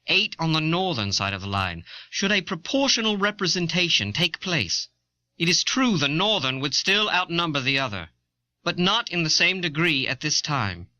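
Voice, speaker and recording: Earnest eighteenth-century American statesman, highish forceful voice, formal oratory, historical documentary drama, dull old cassette. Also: exaggerated voice.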